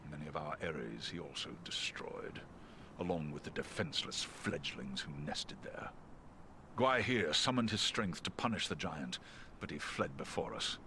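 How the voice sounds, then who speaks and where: An actor playing a noble, earnest giant eagle scout in a fantasy game, speaking with formal, stately diction. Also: male voice, a bass voice, expressive, normal speed, background noise. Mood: grave. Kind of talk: scripted fantasy game dialogue.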